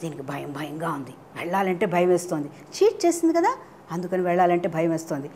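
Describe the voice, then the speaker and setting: A woman telling a children's story in an animated way, often questioning her listeners and mixing in English phrases. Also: fairly low pitch, quick pace, breathy edge. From India.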